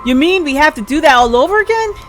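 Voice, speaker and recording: A game streamer voicing an excitable, eager junior police sidekick with an urgent, exclaiming manner. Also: sits high, quick pace, faint music behind, pitched above the performer's own voice. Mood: chirpy.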